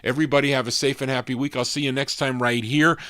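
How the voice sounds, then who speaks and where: A man with a baritone voice chatting casually and in a rambling, folksy way as the host of a live vintage record show. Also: fairly even intonation, fast, a bit bright, no room sound, clean studio sound. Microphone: studio microphone.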